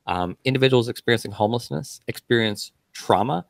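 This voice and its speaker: A man speaking as a healthcare guest on a panel podcast, measured and hedging with fillers. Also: mid-range voice, gravelly, dry recording.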